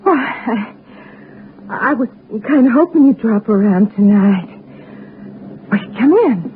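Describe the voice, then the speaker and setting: A tinny, narrow old radio recording holds a dangerous young woman. Her high voice moves widely in pitch, in the sweet femme fatale manner of radio drama. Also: animated, slow pace, a little hiss or hum. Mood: sweet, flustered. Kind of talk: 1960s radio drama acting.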